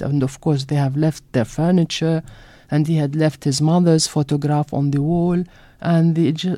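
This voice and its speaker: A middle-aged woman from Jordan with an Arabic accent, telling personal stories in a conversational, animated way as an interview guest. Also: low-pitched, dry recording. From Jordan (Amman). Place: broadcast studio.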